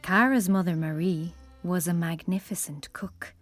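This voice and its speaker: A woman's voice: fairly low pitch, a little slow, soft background music. A woman narrator reading a scripted children's story.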